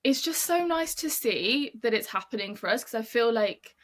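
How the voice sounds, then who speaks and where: A woman's voice: a little high, lively intonation, moderate pace. A young British woman chatting as a podcast guest, filling her talk with "like" a lot. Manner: chatty, fills with "like" often. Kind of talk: casual interview chat.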